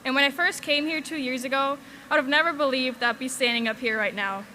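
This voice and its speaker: A woman's voice: sits high, fairly even intonation, fast, bright, forceful tone, slight background noise. A young woman from Switzerland giving a valedictorian speech at a graduation, earnest with light humor, on a podium microphone. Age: young adult.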